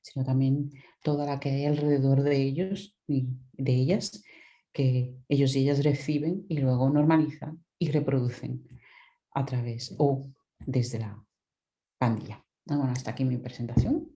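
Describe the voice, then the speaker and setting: A woman's voice: rumbling low, steady tone, normal speed, mellow. A woman from the Dominican Republic presenting her research as an academic talk over a video call, thoughtful, with many restarts and tag questions. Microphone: video call microphone.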